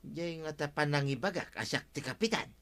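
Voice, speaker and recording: A man's voice: a little high, animated, a little fast, a bit bright. A man with an Ilocano accent playing a grumbling, henpecked village captain in a comedy radio drama.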